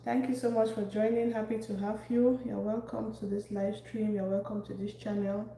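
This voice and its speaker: A woman from Cameroon, hosting a casual cooking live stream and chatting warmly with viewers by name as she cooks in her kitchen. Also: mid-range voice, level tone, moderate pace. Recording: echoey room, faint background noise.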